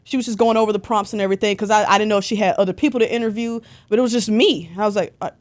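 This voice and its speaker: Young woman guest, chatty storyteller, mid-pitch voice, filler-heavy casual delivery. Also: racing. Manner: chatty, animated storytelling with lots of "like". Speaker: podcast guest, concert photographer. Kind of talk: casual interview chat.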